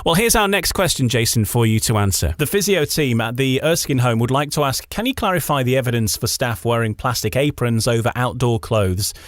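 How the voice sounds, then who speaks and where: A man's voice: mid-range voice, expressive, a little fast, few pauses, dry recording, clean studio sound. A man presenting a radio interview, putting questions to his guest. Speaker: radio host.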